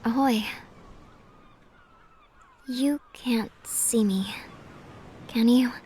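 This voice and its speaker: A woman's voice: fairly high pitch, warm tone, slight background noise. A woman playing an alluring, teasing siren, whispery and coaxing, in a cinematic fantasy audio roleplay. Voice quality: breathy, whispery. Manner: coaxing, playful, unhurried.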